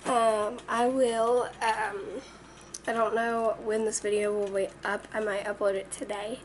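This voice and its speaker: A teenage girl with a raspy voice talks to her webcam, earnest and full of ums. Her delivery stays flat and even. She sits back from the mic, and steady noise hums underneath. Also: medium pitch, measured pace. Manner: earnest, chatty, many ums. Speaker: vlogger. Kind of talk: casual chat to camera. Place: small bedroom indoors. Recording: distant webcam audio with noise.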